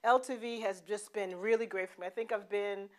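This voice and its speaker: A woman's voice: medium pitch, steady tone, fairly quick. A woman hosting a cooking show, chatting playfully with her guest in a studio kitchen. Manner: chatty and playful, many mm-hmms. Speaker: talk show host. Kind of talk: casual chat on a cooking show.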